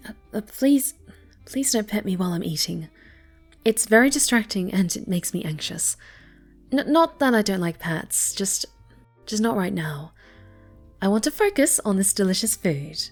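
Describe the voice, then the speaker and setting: A woman plays a young cat girl in an unhurried ASMR roleplay, with faint music behind her. She is haughty and pouty, a spoiled brat who stammers when flustered and has a soft, needy side underneath. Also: mid-range voice, animated.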